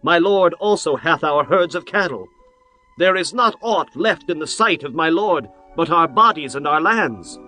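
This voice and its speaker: A man's voice: a little high, animated, unhurried, sharp tone, low-quality recording. A man voicing humble shepherds and starving people, pleading deferentially. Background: faint music.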